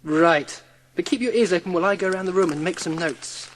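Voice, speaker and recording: A man playing a brisk, practical secretary with a businesslike, clipped manner in a dramatised audiobook. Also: sits high, a bit bright, a little hiss or hum. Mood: brisk, disapproving. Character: brisk, practical gentleman's secretary surveying a room.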